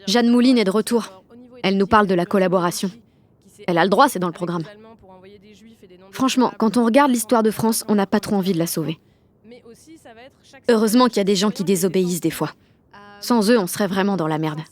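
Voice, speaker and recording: A woman's voice: mid-range voice, a little fast, pauses often, close to an everyday voice. A woman playing a rebellious, sardonic sixteen-year-old schoolgirl who tells her story in blunt, swearing slang in an audio drama. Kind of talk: first-person audio drama narration in slangy speech.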